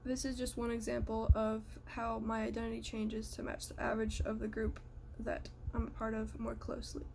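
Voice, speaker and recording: A young woman from the United States giving a prepared personal reflection in a measured, earnest way. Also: a little high, completely flat delivery, gravelly, noisy background.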